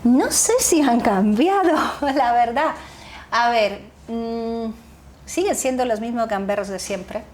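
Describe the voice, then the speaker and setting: Animated woman telling family stories. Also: on the high side, slow, slight background noise. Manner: animated, laughs often, restarts words. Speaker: guest, association founder. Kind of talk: casual interview storytelling.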